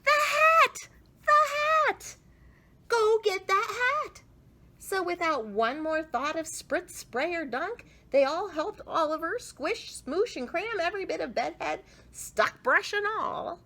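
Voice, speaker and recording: A woman reading a picture book aloud to children, expressively, with drawn-out emphasis. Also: sits high, animated, a bit bright. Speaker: children's storyteller.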